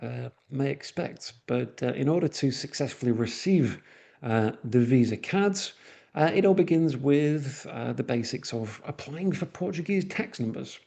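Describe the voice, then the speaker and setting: A man's voice: medium pitch, animated, a little slow, vocal fry, dark tone. A man from northwest England with a Manchester accent, giving a webinar presentation with frequent uhs and restarts. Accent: Mancunian.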